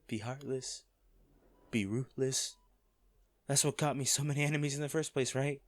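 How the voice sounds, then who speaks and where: A man acting a hardened lover pleading at a comatose partner's bedside in a romantic audio roleplay, halting, intimate and earnest. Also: medium pitch, steady tone, no echo, lightly acted. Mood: grieving, broken.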